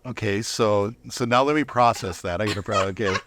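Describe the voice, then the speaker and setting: A man's voice: lowish voice, a little fast, noisy background. A middle-aged man telling personal stories as an interview guest, casual and reflective, often restarting his thoughts.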